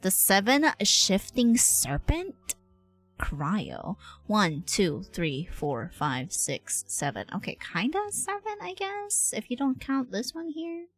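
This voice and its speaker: Woman, Filipino accent, casual streamer chat, expressive, slow-paced, faint music behind. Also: mid-range voice. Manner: excitable, expressive reactions. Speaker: livestreamer.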